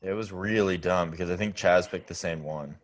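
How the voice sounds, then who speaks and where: A man's voice: low-pitched, brisk. A man co-hosting a podcast in casual, slangy, teasing banter. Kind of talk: casual chat.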